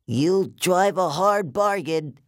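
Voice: medium pitch, animated, slow, no echo, studio quality, pitched above the performer's own voice. A performer voicing a genial but menacing male giant who talks in folksy, drawling sales patter, in an audiobook. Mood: eager salesman. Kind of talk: audiobook character voice.

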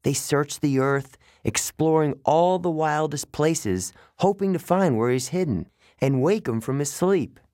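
A man voicing an earnest, idealistic young male satyr who sounds wistful and quick to take offense. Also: a little high, darkish tone, no room sound, studio quality, pitched above the performer's own voice, close to an everyday voice. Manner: wistful, indignant about pollution.

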